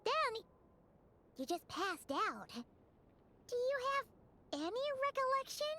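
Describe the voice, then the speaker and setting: Squeaky, extremely high childlike companion. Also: female voice, big pitch swings, slow pace, noisy background, exaggerated voice. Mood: explaining, careful. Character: tiny childlike companion, excitable, caring, squeaky. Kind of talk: English anime-style game voice acting.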